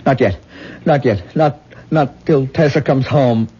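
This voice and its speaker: An actor playing a vain, lovesick, obsessive stage actor in a 1970s radio drama, with halting, repetitive, theatrical delivery. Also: male voice, mid-range voice, mellow, breathy edge. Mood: delirious pleading. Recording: muffled vintage radio recording, music behind.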